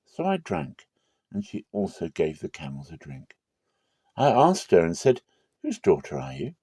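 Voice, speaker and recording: A man playing a devout male servant who earnestly recounts his errand in a measured dramatic scripture reading. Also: low-pitched, huge pitch swings, warm tone, husky, dry recording, full character voice.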